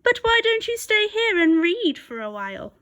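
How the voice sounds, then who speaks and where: A woman voicing a sly, hungry male wolf from a storybook, gruff and scheming, with exclamatory delivery. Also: extremely high pitch, sing-song, moderate pace, a bit bright, a little hiss or hum, pitched above the performer's own voice, full character voice.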